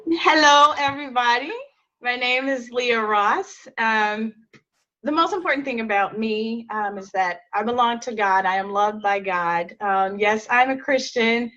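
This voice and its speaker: A woman in her thirties with a fairly high voice, speaking earnestly and at a measured pace. The sound comes through muffled, lo-fi video call audio. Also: pushed and bright. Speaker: panelist. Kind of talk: webinar self-introduction.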